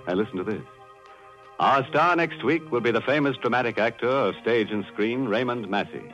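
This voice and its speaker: A man's voice: medium pitch, a bit bright. A man hosting a 1940s radio mystery show in a sardonic, macabre, tongue-in-cheek style. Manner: sardonic, macabre chuckling, drawn-out sign-off. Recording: muffled 1940s radio recording, music behind.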